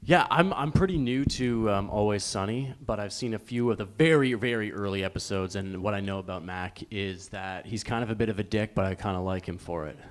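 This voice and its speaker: A man's voice: on the low side, a little fast, hardly any pauses. A man chatting casually and jokingly as part of a table read cast.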